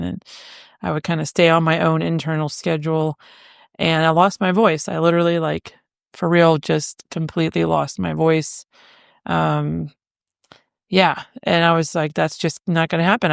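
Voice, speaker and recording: A middle-aged woman chats alone into her podcast mic, self-deprecating and quick to laugh. Her voice has a gravelly fry under a smooth, resonant tone. The sound is close and dry. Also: sits low, no echo. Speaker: podcast host. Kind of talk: casual solo chat. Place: home living room.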